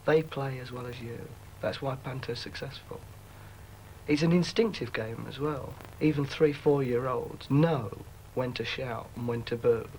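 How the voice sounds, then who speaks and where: A man's voice: mid-range voice, unhurried, darkish tone, a little hiss or hum, rough recording. Emphatic man talking about stagecraft. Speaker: pantomime dame performer. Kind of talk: interview talk.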